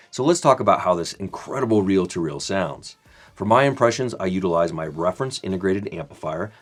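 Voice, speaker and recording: Male reviewer, 30s, low-pitched voice, measured scripted narration with a formal read. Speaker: product reviewer.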